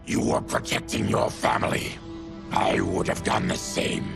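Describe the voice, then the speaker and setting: Growly mutant warrior for a game, super deep n gravelly, fierce but decent, music blasting behind. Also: male voice, sing-song, unhurried, full character voice. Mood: solemn. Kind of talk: video game cutscene acting.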